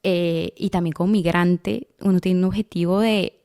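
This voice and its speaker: Soft-spoken woman podcast host. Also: fairly low pitch, fairly even intonation. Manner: thoughtful, builds questions slowly with fillers. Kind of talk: interviewer asking questions.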